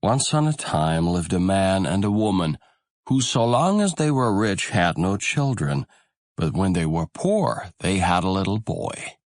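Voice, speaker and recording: A man narrating a fairy tale audiobook in a deliberate, expressive storytelling manner. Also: deep voice, dry recording, clean studio sound.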